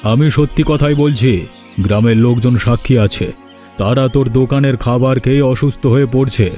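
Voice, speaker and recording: A dark-toned, mellow village patron acted by a man in a cartoon fairy tale. He speaks firmly and with authority, with faint music behind him. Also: mid-range voice, fairly even intonation, moderate pace, close to an everyday voice. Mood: stern, accusing. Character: authoritative village gentleman, generous patron and stern judge.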